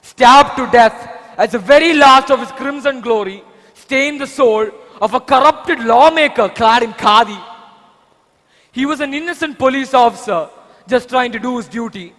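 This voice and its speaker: A man plays a young vigilante bent on justice, with an Indian accent. His voice is strikingly high and rings through a reverberant hall. He delivers each line with deliberate, theatrical weight, as in a stage drama. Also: a bit bright. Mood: bitter, grieving. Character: vengeful young male vigilante seeking justice for his murdered father. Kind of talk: amateur stage drama dialogue. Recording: echoey hall, long reverb.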